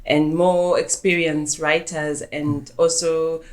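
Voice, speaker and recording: A woman's voice: low-pitched, flat delivery, slow, darkish tone, poor audio. A young woman from Zimbabwe with a Zimbabwean accent, answering at length as an interview guest, often backtracking to rephrase. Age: young adult. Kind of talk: conversational interview.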